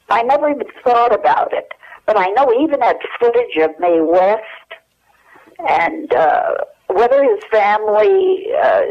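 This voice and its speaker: An older woman reminiscing as an interview guest over a telephone line, with many pauses and restarts. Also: mid-range voice. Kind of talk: casual phone interview.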